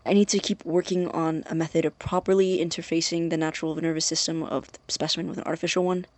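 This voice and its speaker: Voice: mid-range voice, flat delivery, quick pace, close to an everyday voice. An actor playing an obsessive, anxious young male researcher dictating experiment notes in an audio drama, in a precise, formal but hesitant way. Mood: clinical, preoccupied. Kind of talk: audio drama, spoken tape journal.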